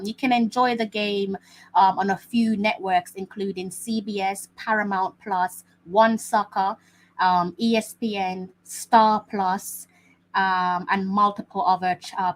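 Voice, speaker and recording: Woman hosting a casual live stream, rambling and repeating herself, mid-pitched voice. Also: low-quality recording. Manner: chatty, repeats phrases, many fillers. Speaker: host. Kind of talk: live watch-along commentary.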